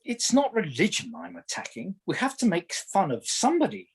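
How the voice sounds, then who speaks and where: A man plays a teasing gentleman satirist in a radio play. The voice is husky and swings theatrically in pitch, with a droll, needling manner. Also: huge pitch swings, brisk, heavily acted.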